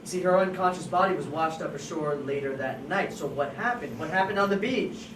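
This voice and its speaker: A performer at an amateur table read playing an agitated police officer who presses suspects with halting, repeated questions. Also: mid-range voice, close to an everyday voice. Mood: agitated, demanding. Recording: distant, echoey room, noisy.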